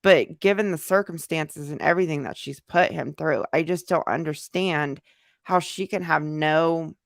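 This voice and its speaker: American woman doing a chatty livestream, lowish voice with lots of fry, super dry close sound. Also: moderate pace, dry recording. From United States. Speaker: livestream host, YouTuber. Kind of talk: casual livestream chat, answering viewer comments.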